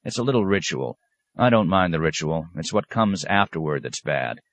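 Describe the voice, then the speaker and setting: A man's voice: sits low, lively intonation, moderate pace, dry recording. A man doing a wry, patient abbot. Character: wry middle-aged male abbot, patient and dry-humored.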